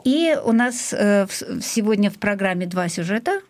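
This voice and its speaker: Female radio host with medium-pitched voice. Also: animated, normal speed, a little mellow. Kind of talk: programme introduction on community radio. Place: radio studio.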